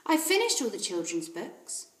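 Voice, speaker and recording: A woman's voice: mid-range voice, wildly swooping pitch, moderate pace. A woman voicing a polite, confident, precocious four-year-old girl in a storybook, earnest and self-assured. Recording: roomy echo.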